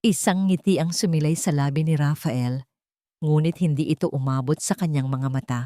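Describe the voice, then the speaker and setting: A woman's voice: low-pitched, normal speed, warm tone, no echo, studio quality. A woman with a Filipino accent reading a scripted, dramatic love story as narrator.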